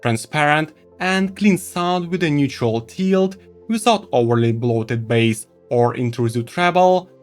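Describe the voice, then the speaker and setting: A man who speaks English as a second language, presenting a semi-scripted product review to viewers in an expressive, enthusiastic way. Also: mid-range voice. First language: not English. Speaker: tech reviewer and video host.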